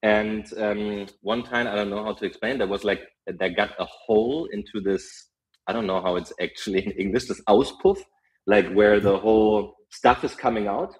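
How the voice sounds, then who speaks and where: A young man with a German accent, a podcast guest telling lively stories in casual chat, full of fillers. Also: on the low side, moderate pace, rough recording. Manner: animated storytelling, frequent fillers and laughs.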